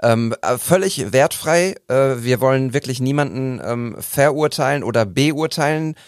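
A man's voice: medium pitch, animated, normal speed, no room sound, clean studio sound. A man hosting a podcast, talking casually with many hesitations and fillers. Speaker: podcast host.

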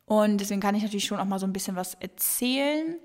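A woman's voice: medium pitch, expressive, quick pace, a little mellow. Chatty young woman in a reverberant room. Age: 20s. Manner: chatty and rambling, with many fillers. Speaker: podcast host. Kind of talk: casual solo podcast Q&A. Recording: long reverb.

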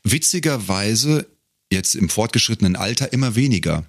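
Middle-aged man, very low voice, reflective, informal interview talk, dry studio sound. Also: animated, moderate pace, no echo. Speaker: podcast guest, bass singer and voice artist. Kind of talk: casual conversational interview.

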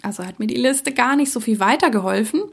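A woman hosting her own podcast, talking casually and reflectively to listeners, with many soft fillers, who grew up bilingual in a Czech-speaking family. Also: on the high side, quick pace, gravelly, breathy edge. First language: Czech-speaking parents, grew up bilingual. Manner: reflective, addresses listener directly, frequent fillers. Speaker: podcast host, soprano singer. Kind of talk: casual solo podcast monologue.

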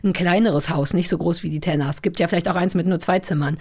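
A woman's voice: on the low side, steady tone, fast, darkish tone, husky. A woman chatting casually and playfully, spinning ideas off the cuff and dropping in English phrases. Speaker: podcast panelist. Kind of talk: casual improvised chat.

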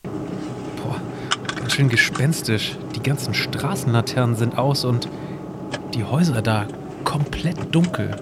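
A man playing a calm, knowledgeable time traveler in an amateur audio drama, explaining things conversationally. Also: medium pitch, a little slow, long pauses, heavy background noise, natural voice. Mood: uneasy.

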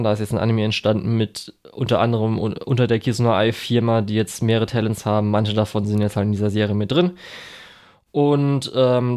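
A man's voice: medium pitch, darkish tone. Give me a male podcast co-host who rambles casually with many fillers. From Germany. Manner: rambling, full of fillers and restarts. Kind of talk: casual chat.

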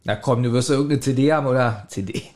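A man's voice: mid-range voice, racing, darkish tone. A man chatting casually as a podcast co-host. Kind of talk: casual chat.

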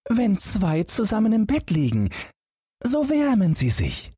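A cheeky, fearless young lad from a storybook. The voice sits high and swoops about with a breathy, husky edge. He sounds perky, naive and entirely carefree. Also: wildly swooping pitch, unhurried, dark tone, no echo, studio quality, full character voice. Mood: cheerful, coaxing. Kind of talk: storybook character voice.

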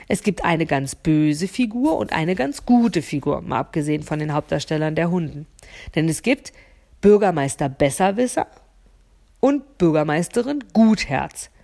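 Low, creaky-voiced woman podcasting. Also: darkish tone. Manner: hesitant, searching for words, repeating words for emphasis. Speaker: podcast host. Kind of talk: unscripted solo podcast monologue addressed to the listener.

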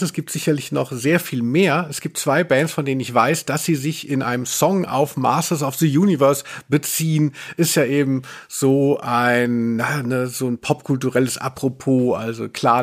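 A man speaks as a podcast co-host in a medium-pitched voice. His delivery is casual and chatty, with a joking, rambling flow suited to loose pop-culture talk.

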